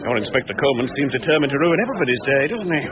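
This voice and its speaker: An actor playing a genteel but sceptical senior police superintendent in a crime drama, dry and questioning. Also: male voice, medium pitch, expressive, racing, close to an everyday voice. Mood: sceptical, irritated. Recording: dull audio with busy outdoor crowd.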